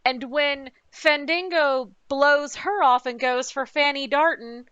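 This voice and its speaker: A woman's voice: high voice, takes it slowly, pushed and bright, no echo, muffled recording. A woman running a tabletop game, recapping the adventure with playful, dramatic storytelling and teasing asides. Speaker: game master. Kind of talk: recapping a tabletop campaign with players.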